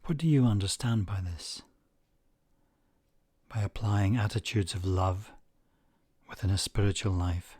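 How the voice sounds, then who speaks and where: A man narrating a guided meditation in a measured way, leaving long pauses between short phrases. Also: on the low side, mellow, slightly rough, dry recording.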